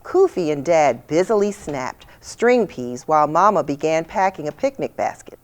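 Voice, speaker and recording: A woman reading a children's picture book aloud with expressive storytelling delivery. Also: low-pitched, normal speed. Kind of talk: storybook read-aloud narration.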